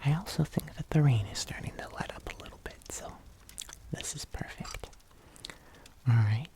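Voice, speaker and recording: An ASMR performer playing a kindly, patient locksmith, murmuring gently and unhurriedly to the listener, close to the microphone. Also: medium pitch, moderate pace. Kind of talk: whispered ASMR roleplay.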